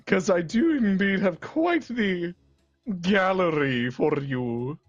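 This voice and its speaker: Performer doing a cackling villain barber, pompous archaic speech, slow and swooping, husky, dull lo-fi sound. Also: mid-range voice, lively intonation, dry recording, soft background music. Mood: gleeful menacing.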